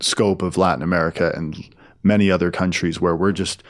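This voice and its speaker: A man giving explanatory answers as a business guest in an interview, in a halting way with repeated and restarted phrases. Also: low-pitched, fairly even intonation. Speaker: interview guest, film studio executive.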